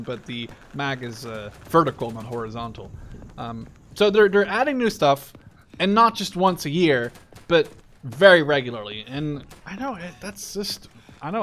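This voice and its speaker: Male gameplay streamer, voice a bit high, casual and profane, drifting off mid-sentence, gunshots and clicks audible behind. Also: fairly bright tone, a little hiss or hum. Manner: rambling, profane, easily distracted mid-sentence. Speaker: video game streamer. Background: video game gunfire.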